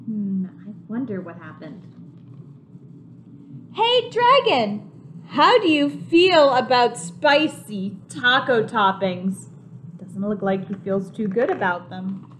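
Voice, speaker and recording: A young woman with a high, very lively voice reads a children's picture book aloud like a preschool teacher. She takes her time, explaining things simply to little listeners. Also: slow pace, a little hiss or hum. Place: home kitchen, indoors.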